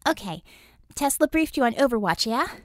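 A woman voicing an energetic, friendly superheroine teammate in an audiobook, chatty and informal. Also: on the high side, normal speed, dry recording, clean studio sound, voice pushed up. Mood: brisk, explaining.

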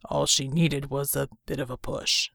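A woman voicing a gruff, steady male superhero in an audiobook, husky and terse, with a reassuring way of speaking. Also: extremely low pitch, normal speed, no echo, studio quality, voice pushed down, heavily acted. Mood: calm, reassuring. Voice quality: husky, gravelly. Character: gruff, steady wounded male superhero comrade, sparing with words. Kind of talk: audiobook character dialogue.

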